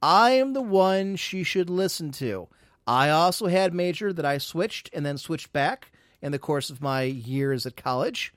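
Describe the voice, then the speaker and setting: A man's voice: fairly high pitch, expressive, measured pace, no echo, clean studio sound. A man hosting a live podcast, chatting casually, rambling and teasing with mock boasts. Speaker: podcast host.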